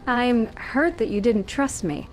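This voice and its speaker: A woman voicing a calm, caring young female warrior heroine in a video game, speaking in a measured, gently probing way. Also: a little high, slight background noise. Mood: hurt. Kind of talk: video game dialogue acting. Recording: music behind game dialogue.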